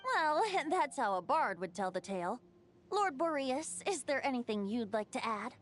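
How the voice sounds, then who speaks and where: A woman voicing a bard-like storyteller in a game, recounting a legend in measured, lyrical phrases. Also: sits high, big pitch swings. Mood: light, gracious. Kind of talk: scripted storybook narration.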